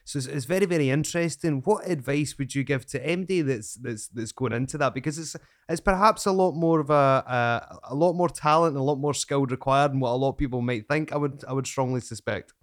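A man's voice: mid-range voice, fairly quick, no room sound, clean studio sound. A man from Scotland with a Scottish accent, hosting a podcast and repeating words as he works out his questions. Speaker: podcast host.

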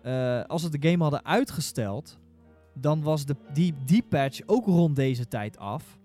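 A man in a casual podcast discussion who starts haltingly and repeats his words. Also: a little high, lively intonation, mellow, soft background music. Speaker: podcast panelist.

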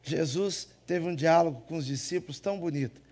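A man with a Minas Gerais Brazilian accent, preaching a humorous sermon in church, jumping between loud emphatic lines and soft asides. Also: a little high, fairly quick. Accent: Minas Gerais Brazilian Portuguese.

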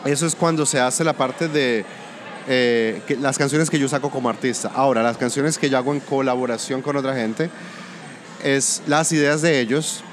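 A man from Colombia with a Colombian-Venezuelan border accent, a music producer talking through his work in a relaxed interview, pausing and restarting his sentences. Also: medium pitch, noisy background. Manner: thoughtful, restarts often. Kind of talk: casual interview conversation.

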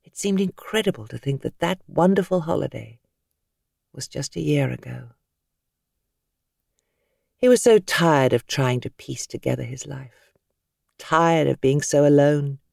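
A British woman reading fiction as an audiobook narrator, with measured, expressive, dramatic storytelling. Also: sits low, creaky, no echo, studio quality.

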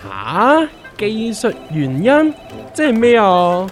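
A woman's voice: medium pitch, huge pitch swings, takes it slowly, over music. A woman with a Hong Kong Cantonese accent playing a blunt, teasing co-worker in a comedic audio drama, given to mock-serious exaggeration. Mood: playful, animated.

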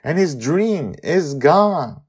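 A man's voice: fairly high pitch, animated, slow pace, husky, pitched above the performer's own voice, full character voice. A man doing an earnest little girl. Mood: urgent. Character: earnest nine-year-old girl, brave and direct.

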